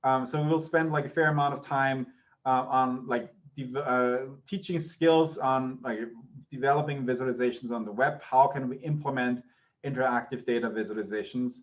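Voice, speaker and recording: Austrian guy, native german speaker, teaching a class online, normal pitch, kinda dull muffled sound. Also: steady tone, moderate pace. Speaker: university lecturer, associate professor. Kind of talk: informal online lecture.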